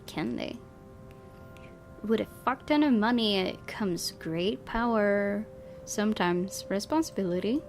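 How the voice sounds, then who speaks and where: A woman hosts a gaming video, reading visual novel narration aloud. Her voice has an average pitch, and she slips into casual asides. Game music is clearly audible behind her. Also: darkish tone.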